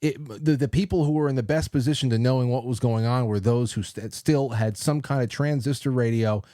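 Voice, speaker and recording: A man hosting a casual interview show, talking in a rambling, halting way. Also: medium pitch, warm tone, dry recording, studio quality. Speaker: talk show host.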